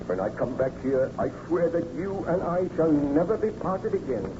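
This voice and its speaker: Man playing a tormented scientist baron, fervent n theatrical, a bit high voiced, muffled noisy old radio drama. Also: expressive, moderate pace, natural voice. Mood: soothing, earnest. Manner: theatrical, fervent, formal diction. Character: anguished, guilt-ridden young scientist baron. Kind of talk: 1930s radio melodrama acting.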